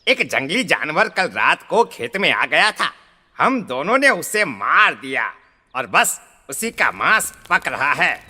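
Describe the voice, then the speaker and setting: Man as jumpy farmer sidekick, piercingly bright highish voice, excitable, horror story, long reverb. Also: slow pace, slightly rough, full character voice. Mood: coaxing. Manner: excitable, exclaiming. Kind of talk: Hindi horror audio story acting. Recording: long reverb, faint music.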